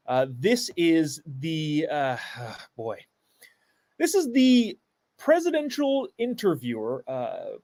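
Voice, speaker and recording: A man's voice: on the high side, no echo. A man hosting a live show, giving sarcastic commentary on a clip and reading viewer comments aloud.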